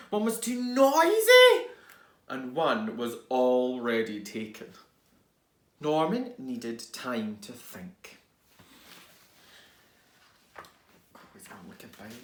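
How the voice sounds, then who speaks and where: A man reading a children's storybook aloud as a teacher, expressively, with dramatic pauses and hushed asides. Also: medium pitch. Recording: roomy, echoing indoor sound.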